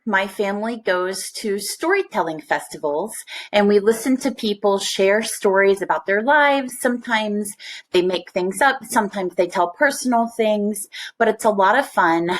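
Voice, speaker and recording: A woman reading a picture book aloud to children, with expressive, deliberate phrasing. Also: mid-range voice. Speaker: storybook reader. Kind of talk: read-aloud storytelling for children.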